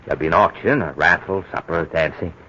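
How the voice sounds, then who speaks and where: An actor playing a nervous middle-aged husband with a guilty secret, jittery and falsely cheerful, in 1940s radio acting. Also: male voice, low-pitched, quick pace, pushed and bright, slight background noise. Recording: dull old radio recording.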